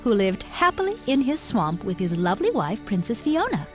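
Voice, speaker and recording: A woman's voice: mid-range voice, big pitch swings, moderate pace, soft background music. A woman narrating a scripted fairy tale in a dramatic storytelling manner.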